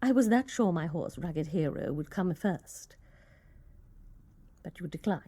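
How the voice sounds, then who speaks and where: A woman's voice: fairly low pitch, lively intonation, unhurried, very noisy. A woman playing a self-righteous, grudge-bearing office worker in a radio play, speaking in a prim, haughty way. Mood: prim, reproachful.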